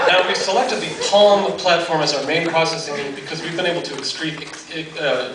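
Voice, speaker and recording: A man in his thirties reads a mock-scientific paper aloud in a deadpan, formally academic way. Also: a little high. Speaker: researcher presenting a paper. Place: large dark auditorium, on stage. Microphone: podium gooseneck, captured by distant audience camera. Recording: echoey auditorium from far off, noisy.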